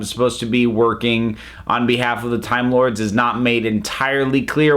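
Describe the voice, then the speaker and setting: A man's voice: mid-range voice, a bit bright. A man with a mid-range voice talks as a reviewer hosting his own channel. His delivery is casual and conversational, and he thinks aloud, often breaking off to rephrase a point. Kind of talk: casual spoken audio drama review.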